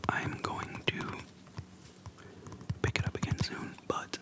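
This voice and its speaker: Soft whispered ASMR, casual and slangy. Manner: soft whispering, unhurried with pauses; informal slangy speech. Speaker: ASMR video creator. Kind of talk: whispered ASMR, casual nostalgic game chat. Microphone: close microphone for ASMR. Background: soft tapping and clicking.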